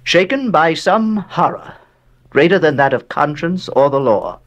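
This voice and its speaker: An actor playing an old, bereaved, wealthy American man who narrates a horror radio drama in a measured, solemn way with dramatic pauses. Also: a little high, big pitch swings, fairly bright tone, lo-fi sound, full character voice. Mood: grave, ominous.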